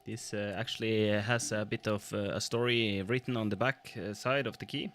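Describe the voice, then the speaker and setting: A man with a fairly low voice talks casually to a live stream as an amateur radio operator. His delivery is hesitant and broken up with pauses. There is clear background noise in the recording. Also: fairly even intonation, fairly bright tone. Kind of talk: casual live stream chat while operating Morse keys.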